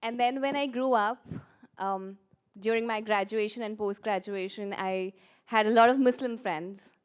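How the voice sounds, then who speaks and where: A woman from India introducing herself as a peace educator, speaking earnestly with measured pauses. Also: medium pitch, clear, resonant tone. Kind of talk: personal introduction at a conference.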